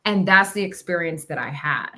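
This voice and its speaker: A woman talking reflectively and casually about personal experience, feeling her way through her thoughts in a halting, searching delivery. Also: lowish voice, animated, pushed and bright. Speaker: podcast host sharing personal reflections. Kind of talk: reflective, casual spiritual conversation.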